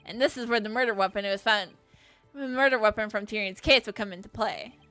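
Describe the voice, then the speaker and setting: A woman's voice: mid-range voice, fast, bright, forceful tone, no echo, faint music behind, close to an everyday voice. A woman reading the lines of an earnest young male defense attorney from a courtroom game, explaining his case step by step. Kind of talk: reading game dialogue aloud.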